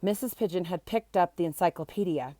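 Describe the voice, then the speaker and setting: A woman's voice: lowish voice, fairly quick, mellow, dry recording. A woman reading a children's book aloud, expressively acting out the characters and adding short explanatory asides. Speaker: storybook reader.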